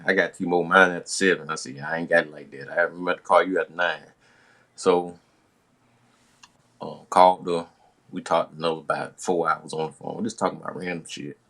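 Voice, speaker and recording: A man's voice: deep voice, a bit bright. An American man hosting a podcast, casually telling stories from his younger days in slangy, profane talk, acting out the dialogue as he goes. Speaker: podcast host.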